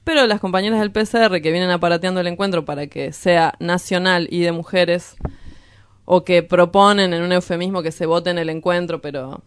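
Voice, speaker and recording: Smooth, lowish-voiced Argentine woman radio host. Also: steady tone, fairly quick, dry recording. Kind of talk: casual radio chat with activist commentary.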